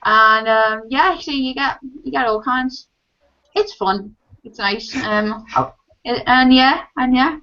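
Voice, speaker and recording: A woman's voice: fairly high pitch, takes it slowly, sharp tone. A young woman with a watered-down Scouse accent, chatting casually as a guest and rambling with lots of ums and restarts. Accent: mild Scouse. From Liverpool, England. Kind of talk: casual chat. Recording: dull lo-fi sound.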